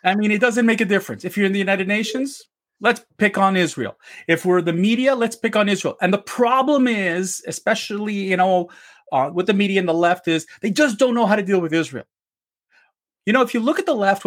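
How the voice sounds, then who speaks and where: A man co-hosting a live opinion podcast, ranting emphatically and often restarting his sentences. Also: high-pitched. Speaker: co-host. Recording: live online stream.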